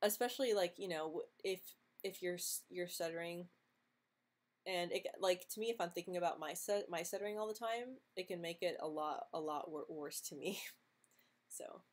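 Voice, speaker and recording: Soft-spoken young woman who stutters. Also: fairly low pitch, moderate pace. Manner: stutters with frequent sound and word repetitions; soft-spoken, laughs lightly between answers. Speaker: vlogger answering viewer questions live. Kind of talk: live stream Q&A, casual chat.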